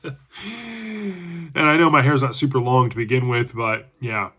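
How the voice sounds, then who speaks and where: A congested man hosting his own channel, giving a chatty, relaxed book review and thinking aloud as he goes. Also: medium pitch, a little slow, breathy edge. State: congested from allergies. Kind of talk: casual book review talk.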